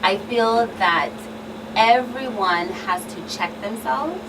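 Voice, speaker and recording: A woman's voice: a little high, slow pace. A woman speaking as a guest expert in a talk show discussion, earnest and emphatic, often repeating her phrasing for weight. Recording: distant mic, clear background noise.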